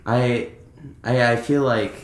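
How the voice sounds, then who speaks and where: A young American man, a musician guest, chatting casually and haltingly in an interview. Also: medium pitch, fairly even intonation. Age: young adult. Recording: echoey, roomy sound.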